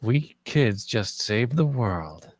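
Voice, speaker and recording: A man's voice: medium pitch, big pitch swings, takes it slowly, dry recording. A man playing an earnest, loyal kid hero in a screenplay table read. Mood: urgent, resolute.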